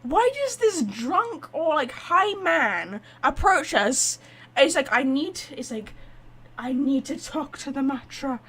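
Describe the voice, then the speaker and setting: Expressive, high-pitched woman streamer. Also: takes it slowly. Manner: expressive, sweary, teasing mock outrage. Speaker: game streamer. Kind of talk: casual playthrough commentary.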